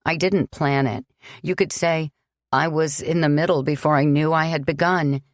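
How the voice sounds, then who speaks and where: An earnest young man pleads for forgiveness in a low, steady voice, read by a woman narrator. He sounds sincere and remorseful. The recording is dry and close. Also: moderate pace, no room sound, close to an everyday voice.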